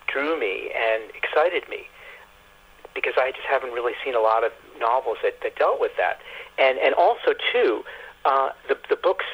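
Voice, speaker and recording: A middle-aged or older man, a novelist being interviewed, speaking reflectively and haltingly, repeating words as he searches for phrasing. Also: medium pitch. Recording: muffled, husky-sounding telephone-like radio line.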